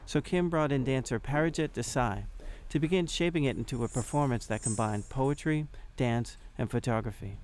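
A man narrating a scripted news feature in an even, informative way. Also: mid-range voice, normal speed, hardly any pauses, a little hiss or hum.

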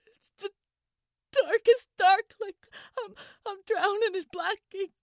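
A woman's voice: extremely high pitch, slow, no echo, studio quality, heavily acted. A woman playing a scared but defiant young schoolgirl in a dramatic monologue, stammering and repeating herself with foul-mouthed bravado. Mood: tearful, panicked. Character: frightened, defiant young schoolgirl trapped in a car trunk. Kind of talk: dramatic first-person monologue.

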